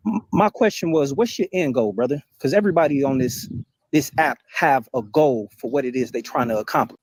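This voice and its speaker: Male caller, measured and courteous, dry mic. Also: mid-range voice, dry recording. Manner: polite, measured.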